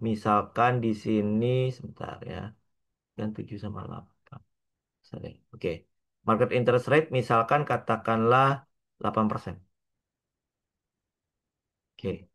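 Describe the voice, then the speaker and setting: A man with an Indonesian accent lecturing on a worked example step by step, halting often, mixing in English terms and adding little checking tags. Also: fairly low pitch. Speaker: accounting lecturer.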